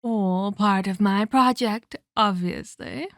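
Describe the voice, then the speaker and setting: A woman's voice: mid-range voice, takes it slowly, a bit bright, no echo, studio quality. A woman playing a sadistic, scheming, centuries-old female vampire in an ASMR roleplay, haughty and taunting, with formal, scolding phrasing. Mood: smug.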